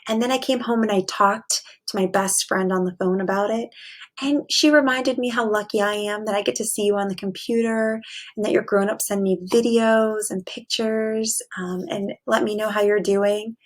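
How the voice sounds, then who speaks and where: A woman's voice: mid-range voice, steady tone, normal speed. A woman teacher reading aloud and chatting casually to young children in an encouraging way. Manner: encouraging, asks the listeners questions.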